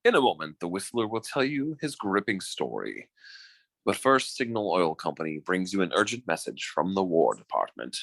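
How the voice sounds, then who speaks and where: A man's voice: low voice, very animated, moderate pace, creaky, fairly bright tone, no room sound, faint music behind, exaggerated voice. A man playing an earnest, formal 1940s radio announcer, reading in a polished, declamatory style. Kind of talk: 1940s radio announcing, scripted sponsor message.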